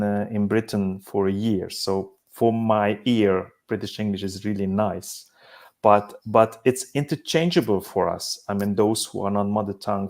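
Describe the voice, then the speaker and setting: Hungarian-accented man, halting speech. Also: on the low side, darkish tone. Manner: halting, many restarts and repeated words. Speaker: guest, business simulation company head. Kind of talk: casual discussion.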